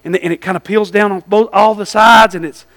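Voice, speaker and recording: Man, Southern US accent, very high pitch, bright forceful tone, folksy evangelistic preacher, exhortatory, repetitive phrasing. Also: steady tone, fast. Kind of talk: evangelistic church sermon with questions to the congregation.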